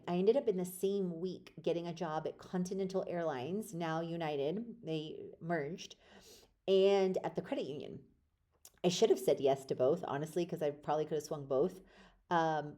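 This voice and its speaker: A woman's voice: fairly low pitch, dark tone. A woman hosting a podcast, telling her own life story in a rambling, reflective way, with many fillers and restarts. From born in Poland, raised in the United States. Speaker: podcast host. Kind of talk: casual personal storytelling.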